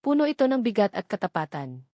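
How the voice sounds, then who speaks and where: A woman's voice: mid-range voice, very animated, fast talker, warm tone, dry recording. Female story narrator, mid-range voice, scripted romance drama, very dry close recording.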